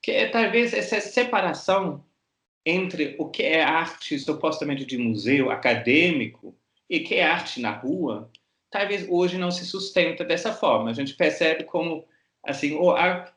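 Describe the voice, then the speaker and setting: A man with a fairly high voice speaks as a museum curator, answering questions in an echoey room. He is reflective and hesitant, restarting phrases as he searches for words. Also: fairly bright tone. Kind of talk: interview answers. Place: art museum gallery.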